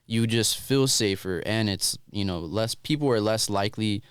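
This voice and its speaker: Laid-back man with a smooth low voice. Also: moderate pace, no room sound, studio quality. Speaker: podcast co-host. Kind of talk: casual podcast chat.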